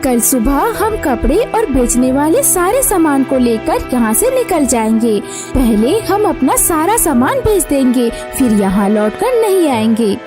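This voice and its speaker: A woman's voice: sits high, very animated, a little slow, over music. A woman voicing a protective, reassuring young elder sister bird in a cartoon story. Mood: resolved. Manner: reassuring, earnest. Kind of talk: Hindi cartoon moral story.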